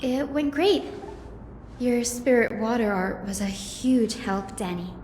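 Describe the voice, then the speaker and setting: A woman's voice: a little high, slow, a little mellow, a little hiss or hum. A woman playing a kind, optimistic young priestess in a video game, speaking earnestly. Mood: bright, reassuring. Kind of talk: scripted video game voice acting. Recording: echoey game audio with music behind.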